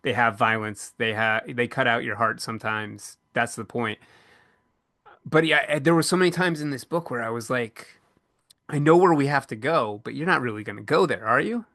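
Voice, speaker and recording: A man hosting a podcast panel, speaking earnestly and reflectively, often halting as he searches for the right words. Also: medium pitch, bright, forceful tone, dry recording, clean studio sound. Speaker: podcast co-host and panel moderator. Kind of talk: reflective panel discussion about books.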